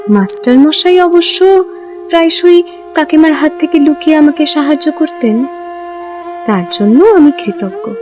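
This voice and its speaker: A woman plays a determined young woman telling her own story. Her voice is high and heartfelt, in dramatic scripted narration. Music plays clearly behind her. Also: expressive, measured pace. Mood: reflective.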